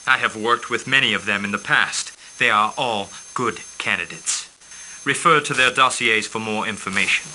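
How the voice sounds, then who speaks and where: A smooth formal mercenary liaison. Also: male voice, fairly low pitch, slight background noise, poor audio. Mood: composed. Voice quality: calm, formal, measured. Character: suave male mercenary liaison giving briefings. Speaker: mission handler. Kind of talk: scripted game briefing.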